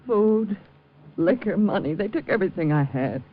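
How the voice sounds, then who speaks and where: A woman's voice: mid-range voice, lively intonation, normal speed, natural voice. Anxious gangster's wife played by a woman, muffled vintage radio. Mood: weary, worried. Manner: pleading, emotional. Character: anxious, long-suffering gangster's wife and protective mother. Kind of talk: 1950s radio drama acting. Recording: muffled old 1950s radio recording.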